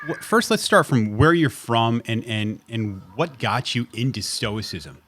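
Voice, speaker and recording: A man's voice: medium pitch, expressive, a bit bright, dry recording. Chatty man hosting a podcast, dry sound. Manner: chatty, halting, repeats words. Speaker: podcast host. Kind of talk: casual podcast intro and interview. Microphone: video call.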